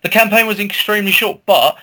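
A man's voice: high-pitched, fast talker, sharp tone. A man co-hosting a podcast, talking casually about games with opinionated emphasis. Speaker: podcast co-host. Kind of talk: casual gaming chat.

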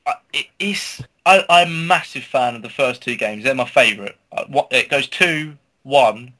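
Bright-voiced man chatting about games. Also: mid-range voice, moderate pace. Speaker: podcast co-host. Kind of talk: casual gaming chat.

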